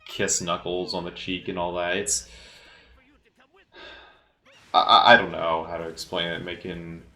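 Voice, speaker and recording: Low-voiced male film commentator, off the cuff and halting, crisp-edged tone, recorded in an echoey room. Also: sharp tone. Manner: halting, hesitant, often repeating words. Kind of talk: casual unscripted movie commentary. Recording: roomy, echoey sound.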